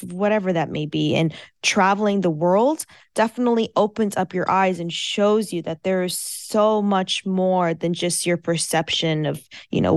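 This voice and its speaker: A woman's voice: fairly low pitch, no room sound, studio quality. A woman, a Farsi speaker, answering interview questions in an earnest, casual way with many fillers and restarts. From United States. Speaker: guest.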